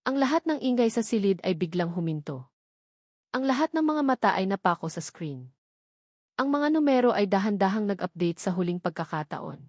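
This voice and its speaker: A woman with a Filipino accent narrating a scripted love story in a measured, sentimental way, in short dramatic phrases. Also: mid-range voice, very animated, darkish tone, no room sound, clean studio sound.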